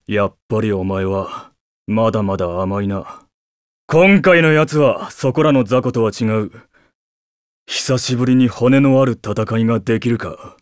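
A man playing an arrogant young demon warrior in an anime game, gruff, curt and scornful. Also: on the low side, measured pace, no room sound, studio quality, lightly acted.

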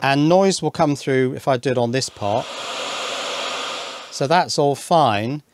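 Male electronics hobbyist, medium pitch, casual and musing, thinks aloud with muttered self-questioning asides. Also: unhurried, noisy background. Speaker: electronics hobbyist video maker. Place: indoors at a workbench.

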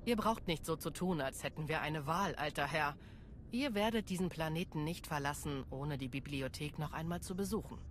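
A woman's voice: low voice, normal speed, close to an everyday voice. Woman doing tough battle-worn jedi lady for a game, dry and blunt, noisy background. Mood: firm. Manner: terse, dry, matter-of-fact. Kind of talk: video game cutscene acting.

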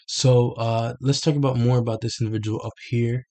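A man's voice: medium pitch, steady tone, a little fast, dark tone. A young man in his twenties in a small bedroom, explaining a picture over a screen share at his desk webcam, hesitant and full of fillers and restarts. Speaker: self-made explainer. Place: small bedroom with red lighting, indoors.

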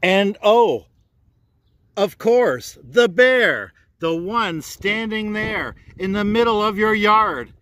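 A man reading a children's storybook aloud as narrator, with deliberate, dramatic phrasing. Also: high-pitched, lively intonation, a bit bright, no echo. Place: outdoors on a park trail.

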